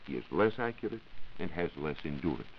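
A man's voice: a bass voice, very animated, brisk, dull audio, slight background noise. An American man explaining formally as a science reporter in a scripted 1940s radio broadcast on an old recording.

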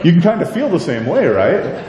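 A deep-voiced middle-aged pastor preaching with warm, mellow tone and a lively, rising-and-falling delivery, full of rhetorical questions and jokes at his own expense. He is recorded from some distance in a reverberant church sanctuary, with a faint low rumble underneath. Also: normal speed. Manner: conversational, self-deprecating humor, many rhetorical questions. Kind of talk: sermon. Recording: echoey church hall, light rumble.

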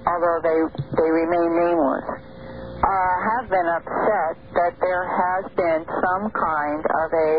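A woman guest on a radio talk show, explaining her views at a measured pace with pauses in mid-sentence. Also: lowish voice, steady tone. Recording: tinny lo-fi radio broadcast, faint hiss.

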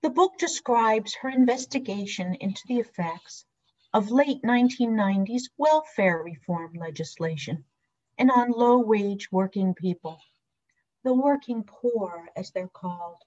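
Middle-aged woman deacon, slow deliberate sermon delivery, dull lo-fi webcam audio. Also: mid-range voice, a little mellow. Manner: measured, reflective preaching. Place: home video call with virtual scenic backdrop. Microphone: webcam microphone on a video call. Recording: dull lo-fi video call audio.

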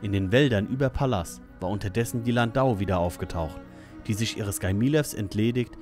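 A man's voice: on the low side, fairly quick, a little mellow, soft background music. A man reading scripted narration for an audio drama.

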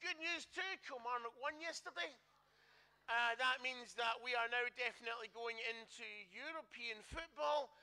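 A man's voice: very high-pitched, moderate pace, pushed and bright. A man, a minister, giving the church notices in a chatty, joking way and correcting himself as he goes.

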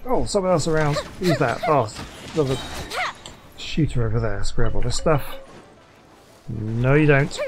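A man's voice: mid-range voice, normal speed. A man giving casual gameplay commentary, thinking aloud as he plays. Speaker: gaming YouTuber.

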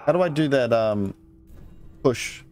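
A man's voice: medium pitch, lively intonation, measured pace, darkish tone, soft background music. A man giving casual let's play commentary, muttering his thoughts aloud and reading out item text.

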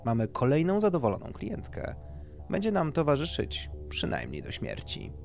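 A self-satisfied male surgeon, read out by a man in an audiobook story. He is businesslike and clinical, stating things as plain matters of fact. His pitch swings in a lively way over faint music. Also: moderate pace, natural voice. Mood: pleased.

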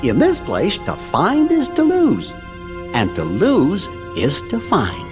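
A tiny, dapper cartoon cricket in a video game. The very high voice is fussy and precise, swooping expressively, with clear music behind and a long reverb. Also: male voice, wildly swooping pitch, takes it slowly, exaggerated voice. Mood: pensive. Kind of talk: video game cutscene voice acting.

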